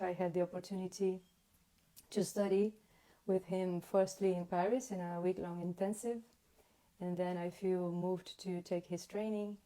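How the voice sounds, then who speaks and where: A woman from Brazil with a Brazilian accent, hosting a live online conversation in halting, earnest speech, searching for her words. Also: on the low side, dark tone, poor audio. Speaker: host. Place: home in Spain. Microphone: video call.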